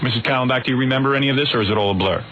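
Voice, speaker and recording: A man's voice: mid-range voice, muffled recording. A man hosting a radio show, teasing his guests and running a mock game show with brash, joking banter. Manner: teasing, brash, quick to laugh. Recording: noisy old radio broadcast.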